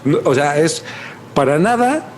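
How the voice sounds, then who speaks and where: A man with a Mexican accent hosting a podcast in casual chat, slangy and animated, repeating words for emphasis. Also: on the high side, measured pace, slight background noise. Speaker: podcast host.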